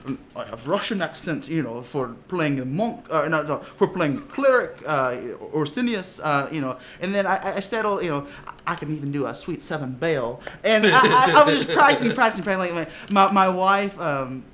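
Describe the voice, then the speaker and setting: A man talking casually in an interview as a tabletop player, telling lively stories with frequent laughs and restarts. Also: a little high, brisk, hardly any pauses, slight background noise. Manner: animated, laughing storyteller with many restarts.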